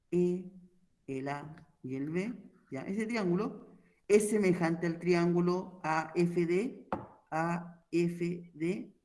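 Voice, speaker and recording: This is a man with a noticeably high voice, teaching a math class for a recorded online lesson. He explains step by step in a steady, patient way and keeps pausing to check that his students are following along.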